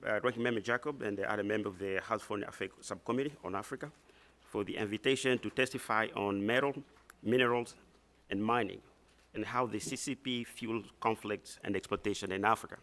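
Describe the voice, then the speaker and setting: Low-pitched Congolese-accented man giving formal testimony. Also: fairly even intonation, brisk, fairly bright tone. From eastern Democratic Republic of the Congo. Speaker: expert witness at a legislative hearing. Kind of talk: formal prepared testimony read aloud.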